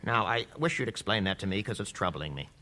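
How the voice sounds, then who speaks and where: A man's voice: lowish voice, very animated, fast, bright, forceful tone, exaggerated voice. A man playing a stern, skeptical judge in a courtroom radio drama, formal and probing. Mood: challenging, troubled. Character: stern, skeptical male judge presiding over a hearing.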